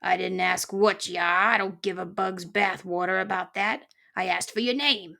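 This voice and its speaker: A woman voicing a cantankerous old male porcupine in a storybook, growling colorful, folksy insults in a gravelly voice. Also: medium pitch, fairly even intonation, moderate pace, sharp tone. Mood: grumpy, scornful. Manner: barking colorful insults, folksy slangy speech.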